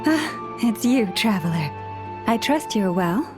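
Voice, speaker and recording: A woman voicing a gentle, dignified priestess with antlers in a video game, speaking in a polite, formal and measured way. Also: a little high, very animated, music in the background. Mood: gently flustered.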